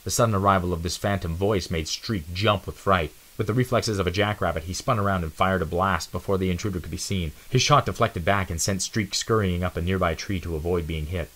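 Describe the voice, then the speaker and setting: A man with a low-pitched voice reads as a storybook narrator. His delivery is dramatic, shaped like an adventure tale read aloud. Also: brisk, hardly any pauses, a bit bright.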